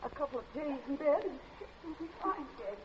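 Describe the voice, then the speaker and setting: Fussy, high-voiced genteel lady. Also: expressive, fairly quick, slight background noise. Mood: prim, mothering. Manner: fluttery, prim, fussing. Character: fussy, genteel middle-aged lady of the house. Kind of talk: golden age radio drama acting. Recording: muffled old radio recording.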